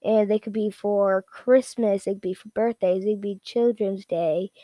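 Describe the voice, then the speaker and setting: A woman giving a casual, unscripted product rundown in a rambling, repetitive, halting way. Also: mid-range voice, steady tone, warm tone, dry recording. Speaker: product reviewer.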